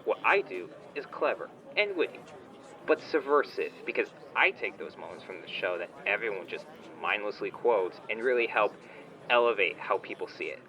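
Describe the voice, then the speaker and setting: A man's voice: on the low side, animated, normal speed. A man playing a smug, entitled online shop owner on a phone call in a comedy audio drama, whiny, condescending and petulant in casual slang. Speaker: caller, complaining customer.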